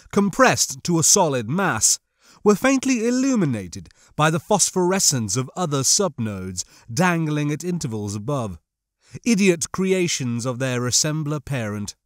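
A man reads a science fiction audiobook with measured, literary delivery. His voice sits a little high and moves with plenty of melody. The sound is close and dry. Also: no echo. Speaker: audiobook narrator. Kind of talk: scripted dramatic narration.

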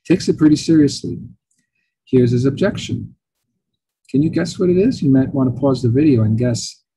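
A middle-aged man lectures in a loose, chatty way, his first language Italian. His voice is very dark and deeply rough, almost breathy. He talks at a desk webcam, full of fillers and lively asides. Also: moderate pace, poor audio. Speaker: professor.

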